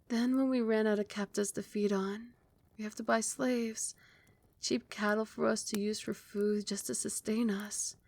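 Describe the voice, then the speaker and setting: A woman playing a regal, possessive yet tender vampire queen in an ASMR roleplay, speaking softly and soothingly and often slipping into breathy whispers. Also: mid-range voice. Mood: wistful, solemn.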